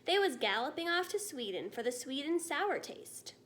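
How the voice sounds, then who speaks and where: A woman's voice: high-pitched, big pitch swings, normal speed, a bit bright, slight background noise. A woman voicing a big, jolly, simple giant with folksy, muddled grammar in a storybook reading. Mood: pondering, eager.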